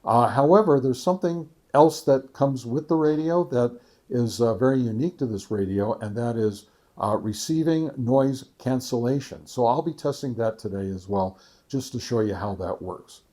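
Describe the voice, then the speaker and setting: Mellow, halting man reviewing gear. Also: medium pitch. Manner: halting, methodical, reads figures out deliberately. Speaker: video host, radio reviewer. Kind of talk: casual product test narration.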